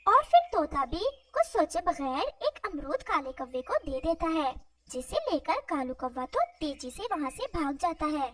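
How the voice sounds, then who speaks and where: A woman narrates a children's story in a very high voice. Her pitch moves in lively swings. The recording is dry, though she sits a little away from the mic. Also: measured pace, no room sound, recorded from a distance.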